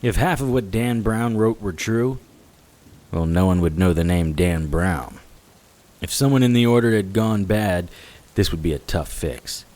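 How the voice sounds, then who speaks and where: Deep, hard-boiled noir investigator. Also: male voice, a little slow, a little mellow. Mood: brooding, wry. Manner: dry, wry, tough. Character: hard-boiled male investigator narrating, wry and vengeful. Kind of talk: scripted noir audio drama narration. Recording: hiss with rain sounds behind. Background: rain.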